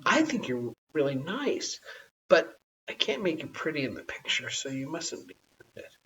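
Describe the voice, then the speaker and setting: Storybook part: chatty little girl, polite and eager played by an adult reader, swinging pitch, dull distant recording. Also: very animated, moderate pace, sharp tone. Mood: cheerful, explaining.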